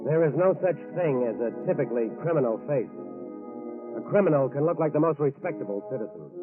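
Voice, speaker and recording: A man's voice: medium pitch, expressive, quick pace. A man narrating a crime drama in dramatic, scripted 1940s radio style, on an old tinny recording. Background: music.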